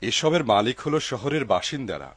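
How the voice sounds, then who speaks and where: A man voicing a kindly, honest older father in a dubbed cartoon, expressive and husky. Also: mid-range voice, fairly quick. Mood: grateful, earnest.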